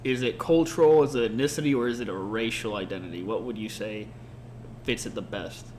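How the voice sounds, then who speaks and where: A man's voice: on the low side, a little fast. A man from Mexico co-hosting a podcast, talking in an earnest, casual way with many fillers. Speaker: podcast co-host. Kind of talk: casual unscripted conversation. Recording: faint background noise.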